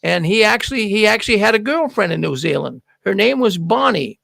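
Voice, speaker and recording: An older man with a Boston accent runs the show with folksy, chatty warmth toward his listeners. His voice sits high and carries a gravelly rasp. He's close on a studio mic in a dry, dead room. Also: expressive, brisk, dry recording. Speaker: host. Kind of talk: casual show hosting. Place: studio with neon signs. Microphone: broadcast mic on boom arm.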